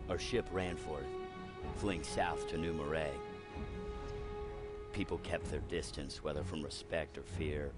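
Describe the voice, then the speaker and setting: Grim brooding young superhero, super deep voice, tired and heavy, big music behind. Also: male voice, fairly even intonation, a little slow, long pauses. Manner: grim, weary narration. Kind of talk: game cutscene narration.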